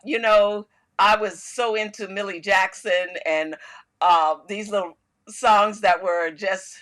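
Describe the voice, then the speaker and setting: An older woman co-hosting a casual chat, telling stories in a loud voice and laughing often. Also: medium pitch, steady tone, slow. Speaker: podcast co-host.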